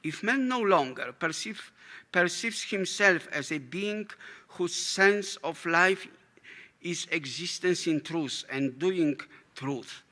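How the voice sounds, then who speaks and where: A man's voice: high-pitched, sharp tone. A man from Poland with a Polish accent, a native Polish speaker, reading a prepared academic lecture in English with occasional slips and self-corrections.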